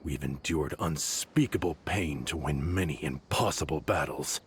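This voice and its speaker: Grim merc, really deep voice, bit of background noise. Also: male voice, normal speed.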